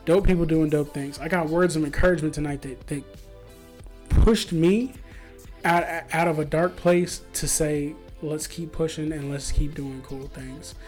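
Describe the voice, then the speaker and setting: A man with a voice a bit on the high side hosts a casual podcast. His phrasing is informal and full of slang. Music plays behind him. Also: fairly even intonation, normal speed, a little mellow. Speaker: podcast host.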